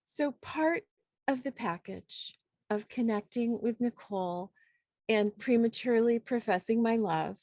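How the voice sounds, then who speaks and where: Female poetry host, mid-pitched, slow and effusive, reflective introductions, recorded dry. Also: a little mellow, no echo. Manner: effusive, reflective, halting with pauses. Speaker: host and poet. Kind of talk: warm, informal event introduction.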